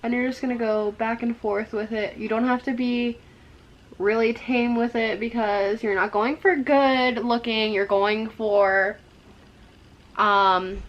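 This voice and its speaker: A young woman giving a chatty, rambling makeup tutorial, her voice a little hoarse from a cold. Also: a little high, a bit bright, highs cut off. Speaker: makeup vlogger.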